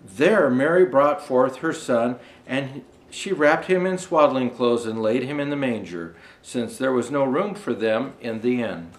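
Older man reading aloud, lively pitch swings. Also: unhurried, hardly any pauses. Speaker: storyteller dressed as Santa Claus. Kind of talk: reading a children's storybook aloud.

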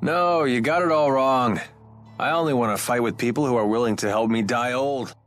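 An actor playing a roguish, cocky mercenary in a video game dub, with a casual, wry way of talking. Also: male voice, medium pitch, normal speed, soft background music, natural voice. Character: roguish, cocky mercenary man with easy swagger.

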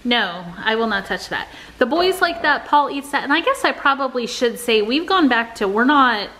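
A woman's voice: a little high, expressive, moderate pace, sharp tone, rough recording. An American woman in her forties chatting casually to viewers as a vlogger. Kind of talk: casual vlog chat. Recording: noticeable background ambience and noise.

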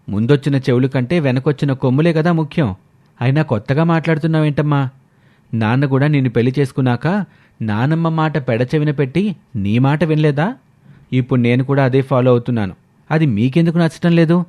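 A man voices a defiant married son in a storybook family drama. He has a medium-pitched voice and argues back with pointed sarcasm, sounding sure that he is in the right. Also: brisk, mellow, lightly acted. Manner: argumentative, sarcastic. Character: defiant married adult son, argumentative, defending his wife.

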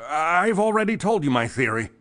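An actor playing a frightened, defensive company boss in a pulp thriller audio drama, with a nervous, hesitant manner. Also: male voice, fairly high pitch, very animated, normal speed, a bit bright. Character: frightened, shaken male chemical company boss under police questioning.

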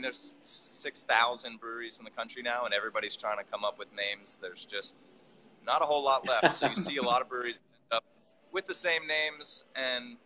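A man in his thirties, a brewery owner, talking casually and haltingly on a video call from inside his brewery. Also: mid-range voice, low-quality recording. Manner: relaxed, halting, with restarts. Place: brewery building, indoors. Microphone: video call, phone or laptop camera.

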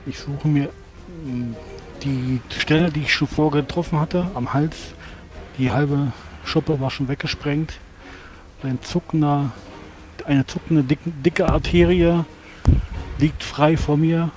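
A man's voice: fairly high pitch, fairly even intonation, takes it slowly, darkish tone, faint music behind, low-quality recording. A man playing a tabletop game, narrating combat descriptively. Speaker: role-playing game player.

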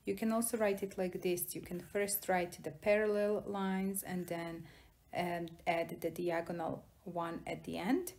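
A young woman with a Serbian accent teaching a language lesson in a deliberate, explanatory manner. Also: fairly low pitch, level tone, darkish tone, slight background noise. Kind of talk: instructional lesson narration.

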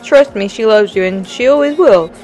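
A woman voicing a supportive grown son, gentle and reassuring, in an amateur roleplay drama. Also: on the high side, animated, normal speed, soft background music, rough recording.

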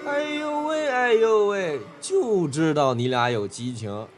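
A man playing a rowdy, mocking classmate in an amateur audio drama, jeering loudly. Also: sits high, expressive, unhurried. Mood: rowdy teasing.

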